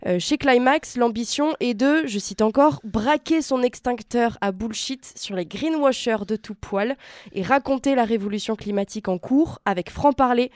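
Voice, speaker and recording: A woman delivers a radio column in a somewhat high voice, very close and dry. She moves between prepared lines and conversational remarks with wry amusement. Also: normal speed, a little mellow, dry recording. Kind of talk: semi-scripted recommendation segment, conversational.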